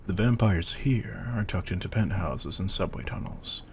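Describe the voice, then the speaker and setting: A man playing a veteran vampire hunter in a slow-burn audio roleplay, intense and commanding, with deliberate, often breathy delivery and a gravelly voice. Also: low-pitched, darkish tone, a little hiss or hum. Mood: calm, commanding.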